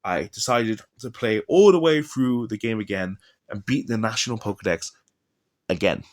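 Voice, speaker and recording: A man's voice: lowish voice, lively intonation, normal speed. A young man rambling casually and with enthusiasm about his old video games, with lots of fillers and asides. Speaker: gaming vlogger.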